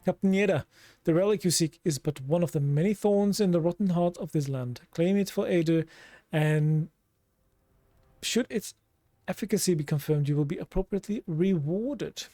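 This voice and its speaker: Norwegian guy streaming a game, mellow voice, chats w/ viewers. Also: high-pitched, moderate pace, no room sound. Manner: chatty, talks to chat, reacts aloud. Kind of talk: casual let's play commentary.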